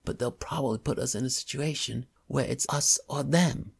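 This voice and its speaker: A man voicing a heated young crook, talking fast and swearing. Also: mid-range voice, creaky, husky, voice pushed up. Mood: scornful. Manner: fast, heated ranting, profane. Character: agitated young male English crook.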